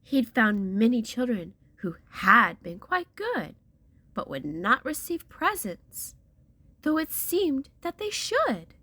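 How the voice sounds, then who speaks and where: A woman's voice: fairly high pitch, big pitch swings, slow pace, pushed and bright, no echo. A woman reading a rhyming children's picture book aloud with theatrical storyteller emphasis.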